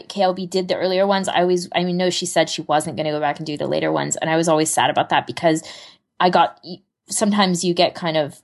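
A woman co-hosting a podcast, talking in an informal, conversational and agreeable way. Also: fairly low pitch. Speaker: podcast co-host. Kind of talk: casual podcast chat.

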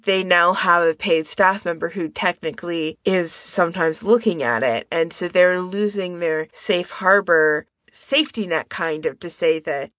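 A woman's voice: fairly low pitch, a little slow, few pauses, a bit bright, no echo, studio quality. A woman co-hosting a podcast, explaining things in an informal, conversational way. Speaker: podcast co-host. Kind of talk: casual explanatory podcast chat.

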